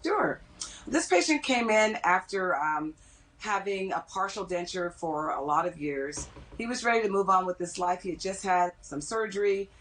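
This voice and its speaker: Lower-pitched woman explaining as an expert. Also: animated, normal speed, fairly bright tone, low-quality recording. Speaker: dentist interviewed as expert guest. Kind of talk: explanatory TV interview.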